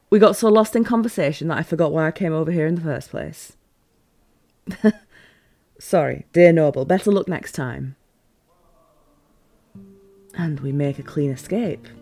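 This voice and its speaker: A playful, teasing fantasy ruler voiced by a woman in an immersive audio roleplay. Her voice sits fairly low for a woman and her phrasing is formal yet expressive, with faint music playing behind her. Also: dry recording. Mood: polite, flustered.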